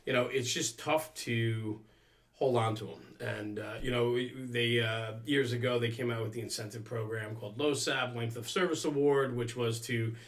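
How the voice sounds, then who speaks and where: A man's voice: medium pitch, fairly even intonation, normal speed. A man with an American accent hosting a casual interview, explaining at length and circling back to his point. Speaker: podcast host.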